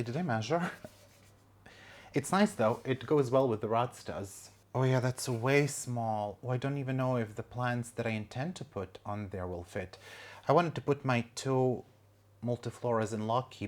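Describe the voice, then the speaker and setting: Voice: medium pitch, a little hiss or hum. A plant vlogger talking casually at home, joking at their own expense and cooing over new plants. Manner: chatty, self-mocking, coos at plants. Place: home room, indoors.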